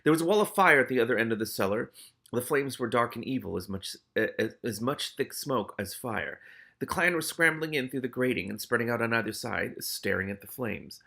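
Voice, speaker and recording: A man's voice: medium pitch, lively intonation, a little fast, vocal fry, sharp tone. A man hosting a read-aloud of a novel, reading dramatically and breaking off into casual, exclamatory, sweary commentary. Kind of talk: expressive audiobook-style reading with casual reactive commentary.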